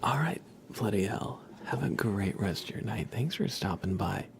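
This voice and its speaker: A livestream host speaking softly, often in a whisper, chatting casually and gently with viewers in an ASMR style. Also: low-pitched, animated, moderate pace, gravelly, slight background noise. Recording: music behind the voice. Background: plucked guitar playing.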